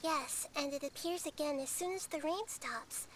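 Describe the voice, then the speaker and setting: An actress voicing a gentle young girl goddess who speaks softly and thoughtfully, full of analogies, in a game scene. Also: sits high, moderate pace, heavy background noise, close to an everyday voice. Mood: calm. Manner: soft, thoughtful, fond of analogies. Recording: game audio with music.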